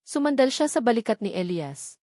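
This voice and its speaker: A woman's voice: fairly high pitch, very animated, normal speed, a little mellow, dry recording, clean studio sound. A woman with a Filipino accent narrating a scripted romantic drama as an audiobook storyteller.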